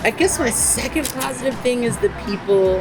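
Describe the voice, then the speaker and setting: A woman's voice: a little high, normal speed, rough recording. Lady, upbeat and sure of herself, music going in the background. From United States. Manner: energetic, confident storytelling. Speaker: guest entrepreneur. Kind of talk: casual interview chat.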